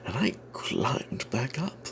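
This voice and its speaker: A man telling an off-the-cuff fantasy game story in ASMR style, breathy and half-whispered, halting, with playful old-fashioned flourishes. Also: mid-range voice, very animated, a bit bright, a little hiss or hum. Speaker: storyteller narrating a tabletop game tale.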